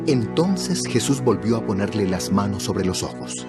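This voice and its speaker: A man's voice: fairly low pitch, sing-song, normal speed. A man narrating a dramatized audio Bible in a scripted storytelling style. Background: background music.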